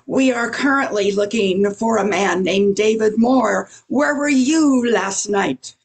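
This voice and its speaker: A woman's voice: mid-range voice, slow, breathy edge. A woman playing a huge, stolid alien police inspector with formal speech in an amateur radio play. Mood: businesslike. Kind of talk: amateur old-time radio play acting.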